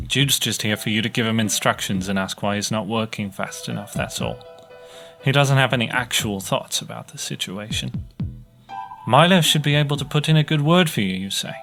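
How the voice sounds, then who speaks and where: A man's voice: medium pitch, fairly bright tone. A young man acting as a bitter, overworked student technician in a sci-fi audio drama, griping sarcastically through a monologue. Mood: sarcastic, aggrieved. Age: young adult. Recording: music behind the voice.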